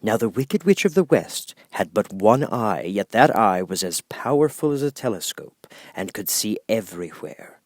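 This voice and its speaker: A man reading a children's audiobook as narrator, with expressive, dramatic storytelling. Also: on the low side, moderate pace, hardly any pauses, dry recording, studio quality.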